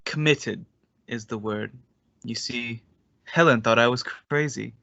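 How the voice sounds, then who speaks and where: An actor playing an unhinged, quietly menacing man in an old-time radio suspense drama, measured and sardonic, in slightly dull sound. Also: mid-range voice, vocal fry, no room sound. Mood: bitter, sardonic.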